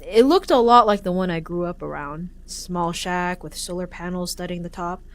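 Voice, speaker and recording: A woman's voice: on the low side, moderate pace, close to an everyday voice. A woman playing a guarded young tech tinkerer in an audio drama, casual in dialogue and in first-person narration. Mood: reflective.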